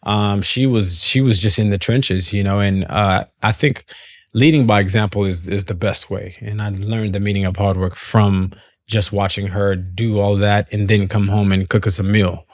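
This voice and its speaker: A man speaking in a relaxed, halting way as a singer being interviewed. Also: on the low side, no room sound, clean studio sound. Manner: relaxed, hesitant, laughs often. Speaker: guest singer. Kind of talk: conversational interview.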